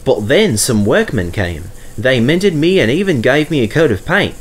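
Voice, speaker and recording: A man's voice: mid-range voice, big pitch swings, moderate pace, a little hiss or hum, close to an everyday voice. A man voicing a friendly vintage steam engine, chatty and earnest, in a storybook. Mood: earnest recounting.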